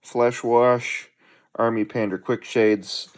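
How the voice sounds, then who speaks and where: A man's voice: mid-range voice, fairly even intonation, slow pace. A middle-aged man hosting a hobby channel, chatting casually and unhurriedly as he unboxes a delivery at home.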